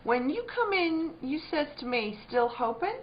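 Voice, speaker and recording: An actress playing a guarded, plainspoken country farm wife in a spooky radio drama. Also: female voice, high-pitched, sing-song, unhurried. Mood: guarded, suspicious. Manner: plainspoken, homely, increasingly suspicious. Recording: dull lo-fi audio with faint noise.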